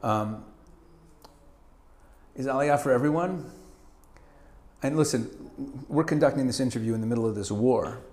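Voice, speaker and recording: A man's voice: medium pitch, normal speed, pauses often. Chatty middle-aged man from Canada. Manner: animated, frequent restarts and stammered repeats, laughs easily. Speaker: interview guest. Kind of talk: casual conversational interview.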